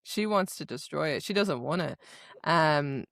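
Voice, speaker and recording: A woman's voice: low-pitched, lively intonation, a little slow, gravelly, a bit bright, no room sound, clean studio sound. A woman co-hosting a podcast, talking casually about a book in an opinionated, emphatic, slangy way. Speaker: podcast co-host. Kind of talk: casual book discussion chat.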